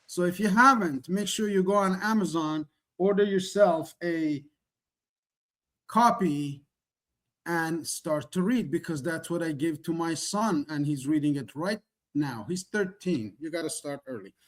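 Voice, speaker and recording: Middle-aged male presenter from the Middle East, not a native English speaker, higher voice, earnest and repetitive, on a video call. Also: a little slow, fairly bright tone. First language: Kurdish or Persian. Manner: earnest, repetitive, rhetorical questions. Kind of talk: online presentation, motivational pitch.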